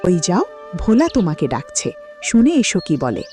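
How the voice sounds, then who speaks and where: Woman as a mellow, animated greedy mother-in-law. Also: on the low side, normal speed, faint music behind. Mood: scornful, demanding. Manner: curt, demanding. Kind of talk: cartoon drama voice acting.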